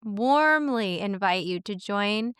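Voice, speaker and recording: A woman from Canada hosting a podcast interview, enthusiastic and a little hoarse from a cold. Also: medium pitch, animated, slow, fairly bright tone, no room sound, clean studio sound. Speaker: podcast host. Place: home in Sweden.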